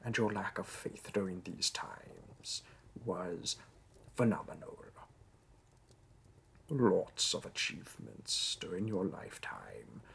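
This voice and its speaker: A gravelly, unhurried demon clerk of hell played by a man, courteous and officious with a dry wit. He speaks formally in a roleplay audio, with breath in the voice and faint noise behind him. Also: fairly low pitch, bright, forceful tone, exaggerated voice. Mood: intrigued, approving. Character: wry, courteous bureaucratic male demon interviewer in hell. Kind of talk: scripted roleplay audio monologue addressed to the listener.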